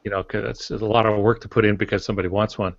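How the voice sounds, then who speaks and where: An older man, a novelist, talking casually on a writing podcast in a halting, matter-of-fact, anecdotal way. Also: fairly low pitch, little pitch movement, gravelly, no echo. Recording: dull lo-fi podcast audio.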